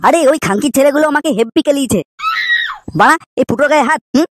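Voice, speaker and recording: A performer acting out a shrill, high-voiced young street troublemaker from a comedy cartoon. The figure is cocky and foul-mouthed, quick to boast and quick to whine. The voice track is clearly noisy. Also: raised voice, lightly acted. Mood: indignant.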